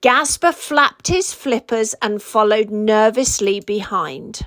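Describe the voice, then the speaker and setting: A woman reading a children's storybook aloud as an expressive narrator. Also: fairly high pitch, takes it slowly, no room sound.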